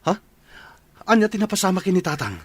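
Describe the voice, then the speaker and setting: A man's voice: high-pitched, sing-song, fast talker, husky, full character voice. A man playing a mild, concerned younger brother with a working life in an audio drama.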